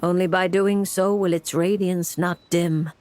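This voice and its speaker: A woman voicing a composed, gently teasing fortune teller in a video game, with a poised, musing manner. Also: lowish voice, fairly even intonation, measured pace, a little hiss or hum, natural voice. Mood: musing, mysterious. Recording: music bed under the voice.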